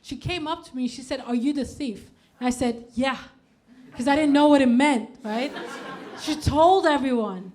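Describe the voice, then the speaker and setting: Brief: woman comic in her 30s, bright high voice, dry deadpan set, faint hiss under the mic. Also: normal speed. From Denmark. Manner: deadpan delivery with punchy outbursts. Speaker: stand-up comedian. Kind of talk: stand-up comedy set. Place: comedy club stage with a live audience.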